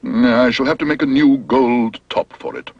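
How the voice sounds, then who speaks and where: A man voicing a fussy, pompous middle-aged male dentist in a storybook reading, speaking slowly and deliberately. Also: medium pitch, husky, dry recording, dull audio. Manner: slow, measured, pompous.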